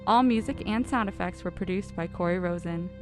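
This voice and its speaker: A woman's voice: on the low side, fairly quick. A woman reading a scripted announcement as the announcer of a radio drama. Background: loud music.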